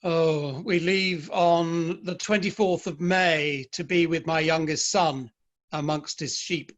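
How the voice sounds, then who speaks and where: A man's voice: fairly high pitch, steady tone, slow pace. A man in an online play reading, playing a modest, wry middle-aged Victorian novelist at his club. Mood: conversational, musing.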